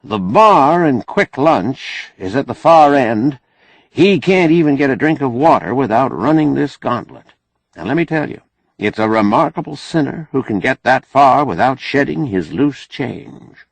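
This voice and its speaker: A man voicing a folksy, pushy, glad-handing senator who preaches, loud and emphatic, for an audiobook. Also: mid-range voice, animated, a little slow, vocal fry, breathy edge, no echo, voice pushed up, heavily acted, rough recording. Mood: boastful, preachy. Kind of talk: audiobook character acting.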